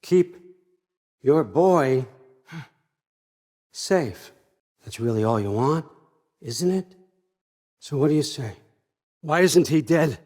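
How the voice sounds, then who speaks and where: Slow-talking, scheming old god. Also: male voice, on the high side, animated, a little mellow. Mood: quiet bargaining, then furious. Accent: American. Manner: wry, conversational, manipulative, profane. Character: scheming, controlling old father god, falsely folksy. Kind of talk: video game character acting.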